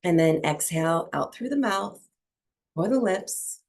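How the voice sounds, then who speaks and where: A woman teaching breathwork and meditation to a small group, speaking softly and soothingly with long pauses between phrases. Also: on the low side, lively intonation, creaky. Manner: soft, unhurried, soothing delivery with long pauses.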